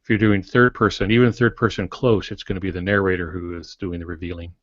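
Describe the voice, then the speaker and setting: A man's voice: low-pitched, flat delivery, fast, fairly bright tone, no room sound. A man co-hosting a podcast, discussing fiction writing in a casual, reflective, folksy way. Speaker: podcast co-host and novelist. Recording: dull lo-fi podcast audio.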